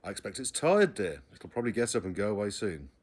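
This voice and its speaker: A man's voice: lowish voice, very animated, fast, breathy edge. A man voicing a patient but stern mother who scolds firmly in clipped phrases. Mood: soothing.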